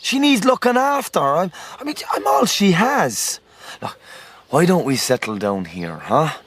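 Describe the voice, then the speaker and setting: A plaintive young Irish farm labourer in a radio drama. He pleads haltingly, his pitch rising and falling a great deal. Some ambience sits behind him. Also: very animated, fairly bright tone, slight background noise. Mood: resigned, pleading. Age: young adult. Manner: hesitant, pleading.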